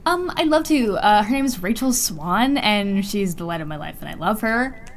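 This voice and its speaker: A woman's voice: mid-range voice, expressive, normal speed, a little hiss or hum. A young woman co-hosting a podcast, giving wry, giggly, brief interjections in casual comedic banter. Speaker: podcast co-host.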